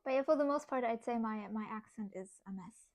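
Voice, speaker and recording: A woman's voice: fairly high pitch, fairly quick, darkish tone. A young woman from Germany with a German accent touched by British English, chatting casually with her stream chat while she works on crafts, laughing often. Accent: German, with some British English. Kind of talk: casual craft livestream chat, reading chat aloud.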